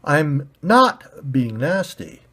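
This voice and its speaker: A man's voice: fairly high pitch, big pitch swings, extremely slow, a bit bright, voice pushed up, heavily acted. A man voicing a smug, rude, self-righteous storybook red ball, with a condescending, emphatic delivery.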